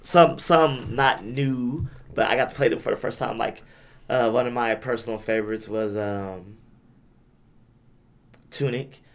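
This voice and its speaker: A young man hosting a casual podcast, hoarse from losing his voice, with laid-back, self-deprecating banter. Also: mid-range voice, a little slow. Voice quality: raspy. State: hoarse, lost voice. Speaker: podcast host.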